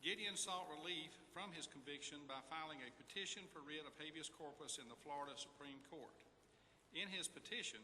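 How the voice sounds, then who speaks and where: Very bright-voiced man narrating in a hall. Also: on the high side. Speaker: narrator at a readers theater event. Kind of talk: scripted narration read aloud. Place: large hall at a public event. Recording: echoey hall, clear background noise.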